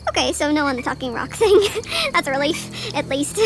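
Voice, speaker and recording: A woman's voice: high voice, big pitch swings, normal speed, music in the background. A woman playing a young female flying squirrel in an audio drama, cautious and anxious, hesitant and plaintive. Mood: bewildered, scared. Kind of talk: audio drama character acting.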